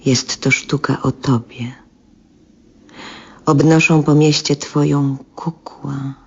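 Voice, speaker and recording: An actress playing a worried, solemn woman in a 1970s radio drama, speaking gravely and deliberately. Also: deep voice, steady tone, exaggerated voice. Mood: grave concern. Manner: slow, deliberate, grave delivery. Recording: dull 1970s radio recording.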